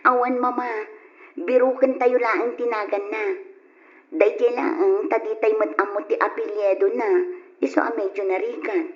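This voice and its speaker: A woman's voice: on the high side, fairly even intonation, moderate pace, lightly acted. Woman playing a teasing teenage daughter. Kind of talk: 1940s-style radio drama acting. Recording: dull old radio recording.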